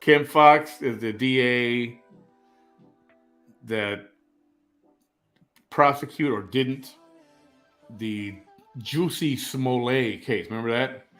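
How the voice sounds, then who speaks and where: A man's voice: medium pitch, extremely slow, long pauses, fairly bright tone, faint music behind. A man in his fifties from Chicago hosting a live-stream chat show, rambling, opinionated and joking as he reads out viewer comments. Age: 50s.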